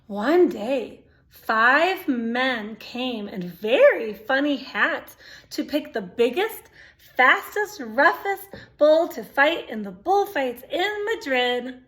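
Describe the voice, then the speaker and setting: A woman's voice: high-pitched, lively intonation, slow pace, fairly bright tone. A woman reading a picture book aloud to young children, expressively, with exclamations, asides and questions to her listeners. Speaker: storytime reader.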